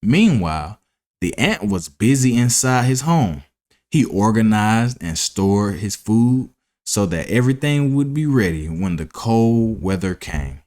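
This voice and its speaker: A man narrating a scripted children's story as a read-aloud, deliberate and expressive. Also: lowish voice. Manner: deliberate, expressive, enunciating for young listeners.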